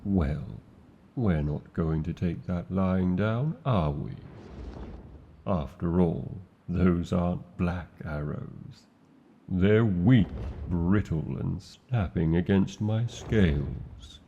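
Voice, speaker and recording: A man with a British accent voicing a tender, protective male dragon in a fantasy ASMR roleplay, speaking in a slow, formal and doting way full of endearments. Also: sits low, dark tone, lo-fi sound, slight background noise. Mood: watchful. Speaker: voice actor.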